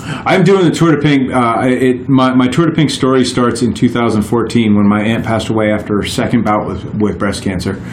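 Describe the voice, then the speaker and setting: A man's voice: medium pitch, normal speed, roomy sound, a little hiss or hum. A man in his forties, a guest on a podcast, telling his stories in a casual, rambling way with many restarts. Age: 40s. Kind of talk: casual chat.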